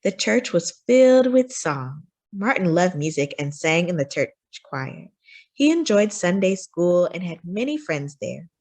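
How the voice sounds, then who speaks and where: Woman, children's read-aloud, slightly rough husky tone, very lively intonation, deliberate phrasing, medium pitch. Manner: expressive, deliberate phrasing for young listeners. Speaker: children's story time host and reader.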